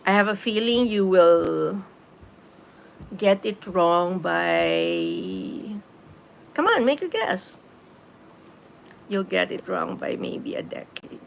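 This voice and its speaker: Filipino lady in her fifties chatting on a phone stream, lowish voice with a bit of fry. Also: very animated, slow pace, pauses often, slight background noise. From Philippines. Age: 50s. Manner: chatty, laughs easily, answers the chat. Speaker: YouTube live streamer. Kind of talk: casual live stream chat with viewers.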